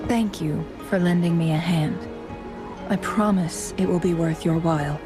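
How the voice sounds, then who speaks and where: A woman voicing a composed, courteous young strategist in a fantasy game, speaking in measured, formal phrases. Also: lowish voice, music in the background, close to an everyday voice. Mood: composed, thoughtful. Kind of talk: scripted video game dialogue.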